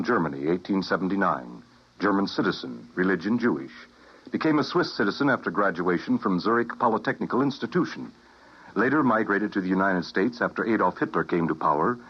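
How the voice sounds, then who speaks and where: A curt security man, deep husky voice, lively inflection. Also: exaggerated voice. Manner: clipped, matter-of-fact, reads dossier flatly. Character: cold, businesslike male security agent. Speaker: security agent. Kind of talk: 1950s radio drama acting. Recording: dull lo-fi old radio recording.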